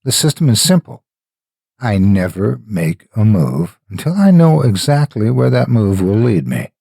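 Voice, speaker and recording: A man narrating a horror story in a deliberate, dramatic manner with measured pauses. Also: lowish voice, very animated, vocal fry, dark tone, husky, no echo, clean studio sound. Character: a wealthy, cold, calculating gambler narrating his own experiment.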